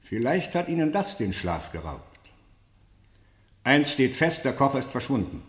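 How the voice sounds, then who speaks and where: An actor playing a stern, sceptical interrogator in a radio play, formal and insistent, with deliberate, pointed questioning. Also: male voice, mid-range voice, big pitch swings, reverberant. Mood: measured, probing. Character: stern, probing male interrogator, sceptical and relentless. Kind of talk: German radio play acting, interrogation drama.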